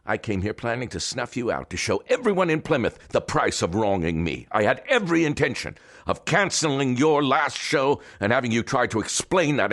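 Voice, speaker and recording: An actor playing a cold, controlling older man in an audio drama, threatening and swinging from hushed to loud. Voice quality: gravelly, very deep. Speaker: Doctor Barrows, wealthy older power broker.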